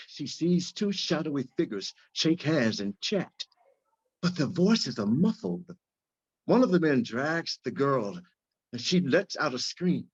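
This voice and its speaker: A man's voice: mid-range voice, husky. A man reading stage directions as scripted narration at a live screenplay reading. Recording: dull lo-fi audio.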